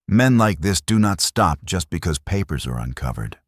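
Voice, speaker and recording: Watchful, loyal ranch woman read by deep-voiced man. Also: big pitch swings, normal speed, dry recording, clean studio sound. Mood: cautious concern. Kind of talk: storybook Western character reading.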